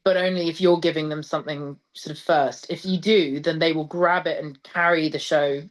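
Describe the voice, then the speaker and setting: A woman's voice: deep voice, steady tone, moderate pace. A woman talking enthusiastically and emphatically about her craft as a theatre director being interviewed.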